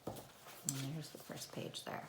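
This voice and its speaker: A woman teacher talking and reading a story to young children in simple, child-directed language, recorded indoors at home. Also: takes it slowly. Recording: roomy home recording with faint noise.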